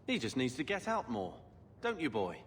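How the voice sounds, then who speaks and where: A man's voice: mid-range voice, wildly swooping pitch, normal speed, big-room sound, background noise. An actor playing a resentful, sarcastic young man, a brooding younger brother in a video game. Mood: easygoing. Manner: sullen, sarcastic.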